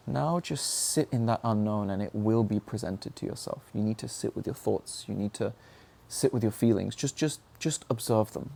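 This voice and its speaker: Young guy talking earnest and reflective, kinda gravelly voice, warm and low-key. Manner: earnest, searching, self-questioning. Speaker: speaker sharing personal reflections. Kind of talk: reflective motivational monologue.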